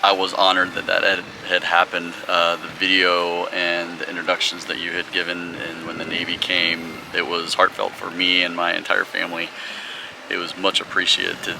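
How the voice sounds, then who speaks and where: A father speaking in a casual interview, halting and emotional, restarting his sentences. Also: low voice, completely flat delivery, creaky, background noise. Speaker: visiting father.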